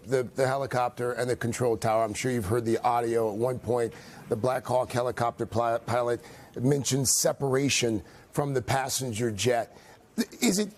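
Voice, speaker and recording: An American man interviewing on live morning news, putting serious, deliberate questions with some restarts. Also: fairly high pitch, darkish tone.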